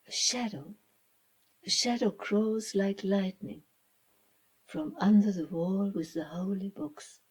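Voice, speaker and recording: A woman reading a children's story as its author, in a dramatic storybook style with hushed, breathy phrases and deliberate pauses. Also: fairly low pitch, poor audio. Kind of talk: slow dramatic storybook narration.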